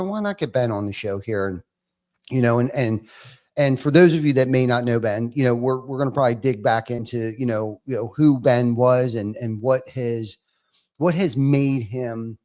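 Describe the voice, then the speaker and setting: A man's voice: mid-range voice, a little mellow. A man hosting a casual interview podcast, talking loosely with many "you know"s and restarts. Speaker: podcast host.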